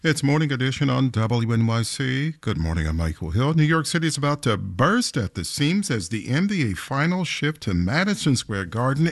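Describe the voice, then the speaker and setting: A man hosting a morning radio show, asking questions in a lively, engaged way. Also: medium pitch, no echo, studio quality. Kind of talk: radio interview.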